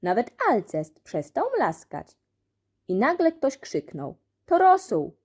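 Animated woman reading a children's story. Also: mid-range voice, takes it slowly, slightly rough. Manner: animated storytelling, acts out dialogue. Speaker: audiobook reader. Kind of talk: expressive children's story narration.

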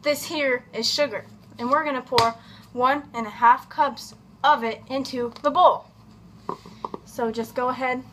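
High-pitched young woman, goofy and unhurried. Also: steady tone. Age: young adult. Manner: goofy, playful asides and drawn-out words. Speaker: host of a home cooking video. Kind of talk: casual comedic cooking tutorial. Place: home kitchen, indoors. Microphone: camera on counter at about arm's length. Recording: background kitchen noise, clicks.

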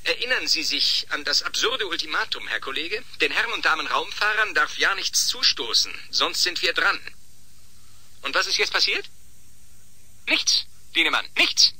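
Sharp, husky, cynical official. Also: male voice, a little high, expressive, normal speed, full character voice. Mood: biting. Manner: biting, sardonic. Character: cynical, callous male official, sarcastic and scheming. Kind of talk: German radio drama acting.

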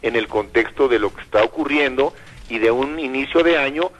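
An older man giving thoughtful commentary in a radio interview, pausing often and repeating words as he thinks. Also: medium pitch, low-quality recording.

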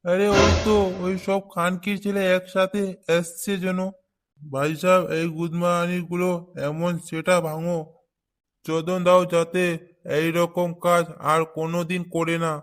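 A man voicing a middle-aged, foul-mouthed neighbourhood uncle in a comedy cartoon, blunt and quick to curse. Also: sits high, little pitch movement. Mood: angry. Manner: blunt, scolding, full of curses.

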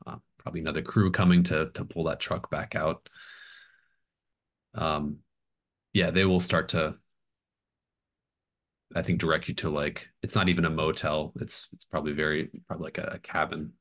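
Very deep-voiced male game master. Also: fairly bright tone. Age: middle-aged. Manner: halting, searching for words. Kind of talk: improvised tabletop game narration. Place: home room on a video call.

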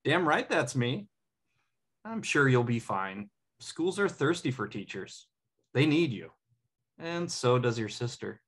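A man's voice: mid-range voice, big pitch swings, slow, a bit bright, breathy edge, exaggerated voice. A man playing an insightful, cocky, supportive best friend in a sitcom table read. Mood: cocky, encouraging. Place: home room on a video call.

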